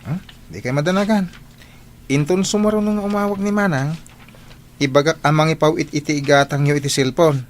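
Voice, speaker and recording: A man's voice: on the high side, fairly quick, long pauses, slight background noise, natural voice. A man with an Ilocano accent playing a dutiful young student and younger brother in a radio drama. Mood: reassuring, eager. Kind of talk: Filipino radio drama acting.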